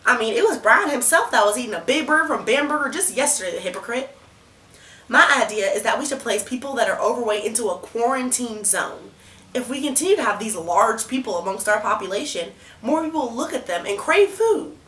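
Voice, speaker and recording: A young woman acts out a scornful, alarmist female candidate at a student-video debate. Her voice is fairly high and forceful, with blunt, folksy phrasing. The sound is roomy and echoing. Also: lively intonation, a little fast. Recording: roomy echo, noticeable background noise.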